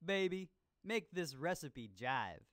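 Exuberant disco cooking host, jive-talking, game character, wildly swinging pitch, music underneath. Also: male voice, animated. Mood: exuberant, groovy. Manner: jive-talking, showy, drawn-out exclamations. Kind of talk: educational video game character voice acting.

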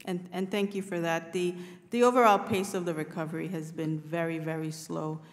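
A middle-aged woman giving formal testimony as a witness, measured and earnest, phrasing things carefully. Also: fairly low pitch, steady tone. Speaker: witness at a congressional hearing. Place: large indoor committee hearing room. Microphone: table microphone. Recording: echoey hearing room, long reverb.

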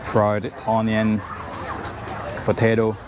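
Slow, low-voiced Thai-accented man, noisy street. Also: completely flat delivery. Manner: brief item-by-item naming with pauses. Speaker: food market vlogger. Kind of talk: unscripted walking food tour commentary. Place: outdoor evening food market. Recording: noisy outdoor street market with traffic.

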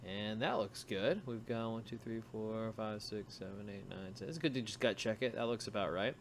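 Low-pitched man teaching over background noise. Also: moderate pace, gravelly. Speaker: programming tutorial instructor. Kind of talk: informal screencast tutorial, thinking aloud while coding.

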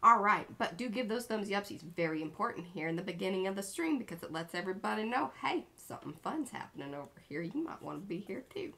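A woman's voice: medium pitch, lively intonation, fast, few pauses, pushed and bright. A woman in her thirties, co-hosting an art livestream from a small home studio, chatting bubbly and playfully as she greets viewers by name.

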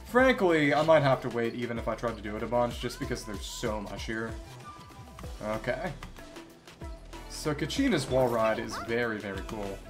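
A man's voice: medium pitch, expressive, normal speed. A man giving casual live commentary as a video game streamer, rambling and thinking aloud as he plays. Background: video game music.

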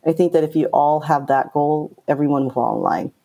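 A middle-aged woman with a low voice, speaking bluntly and directly as an interview guest. Her tone is dark and mellow. She tells her stories with animation. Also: fairly quick, gravelly. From Japan. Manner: direct, outspoken, animated storytelling. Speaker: game producer, guest. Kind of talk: casual interview talk.

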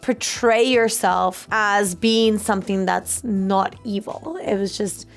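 Mid-pitched woman storyteller over faint music. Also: slow. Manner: animated storyteller, acts out quotes, frequent "like". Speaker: co-host of a reaction video. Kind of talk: casual anecdotal chat.

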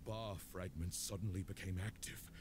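An actor playing a stern, solemn old temple leader in a video game, speaking in a measured, formal and imposing way. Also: male voice, sits low, fairly even intonation, background noise, close to an everyday voice. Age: older. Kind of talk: dramatic video game narration and dialogue. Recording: game music behind the voice. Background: game music.

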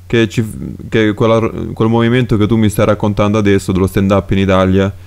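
A man's voice: fairly low pitch, little pitch movement, a little fast, darkish tone, smooth. A man hosting a podcast, chatting casually and asking his guest questions. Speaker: podcast host.

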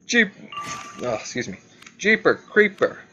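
A man's voice: sits high, sing-song, measured pace, sharp tone, dull audio, a little hiss or hum. A man giving casual, playful gameplay commentary, thinking aloud in short bursts and exclamations. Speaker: gamer recording gameplay.

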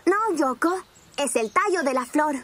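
An actor voicing a cheerful young boy rabbit in a children's cartoon, explaining things simply and clearly. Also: high-pitched, lively intonation, a little slow. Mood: patient, instructive. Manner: clear, simple, instructive delivery for small children. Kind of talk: children's cartoon dub, drawing instruction. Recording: loud music under the voice. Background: children's cartoon music.

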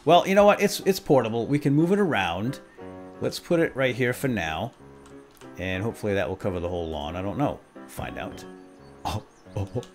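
Animated man playing and narrating a game. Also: lowish voice, fairly quick, soft background music. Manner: playful, exclamatory, talks to himself and viewers. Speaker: gaming video host. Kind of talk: role-play let's play commentary.

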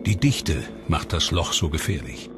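A man narrating a science documentary in a measured, ominous scripted voice-over. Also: low voice, lively intonation. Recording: studio voice-over over loud music. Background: music.

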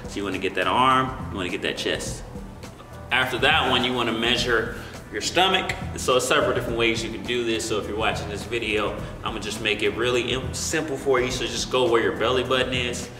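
A man's voice: medium pitch, brisk. A young American man giving informal, encouraging fitness instruction to camera in a gym, often checking in with the listener. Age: young adult. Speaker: fitness coach and video host. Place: large indoor gym. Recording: echoey, reverberant room with music behind. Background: music.

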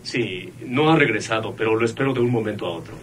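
An actor playing an earnest, respectful son informing the police in a radio drama. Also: male voice, fairly low pitch, fast talker, a bit bright, lightly acted. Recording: distant mic, faint noise.

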